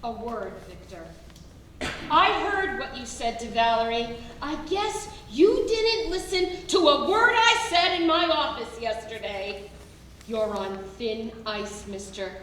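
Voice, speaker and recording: A woman's voice: fairly high pitch, lively intonation, takes it slowly, fairly bright tone. A woman playing a stern, authoritative boss in a staged reading, reprimanding sharply. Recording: very echoey room, distant mic, faint noise.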